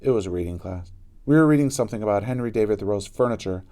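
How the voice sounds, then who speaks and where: A man narrating a children's book aloud in an expressive style with dramatic emphasis. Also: fairly low pitch, very fast. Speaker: storybook reader.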